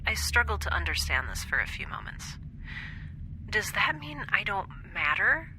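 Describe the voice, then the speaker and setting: A woman playing a wry, anxious young scientist in space, confiding haltingly as if recording a personal log for an audio drama. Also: medium pitch, big pitch swings, noisy background, lightly acted. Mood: pensive, confiding. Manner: conversational, halting, confiding, self-deprecating.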